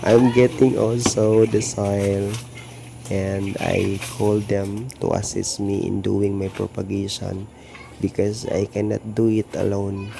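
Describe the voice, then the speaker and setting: A man with a Filipino accent narrating a home gardening vlog in a slow, earnest way. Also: fairly low pitch, little pitch movement, creaky, noisy background. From Philippines. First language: Tagalog or Filipino. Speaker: gardening vlogger.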